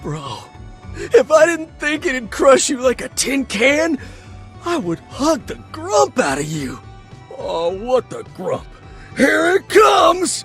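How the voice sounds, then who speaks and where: A man's voice: very high-pitched, huge pitch swings, slow pace, a bit bright, faint music behind, full character voice. A man voicing a laid-back, big-hearted creature who talks like a slangy surfer bro in a video game. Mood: hyped.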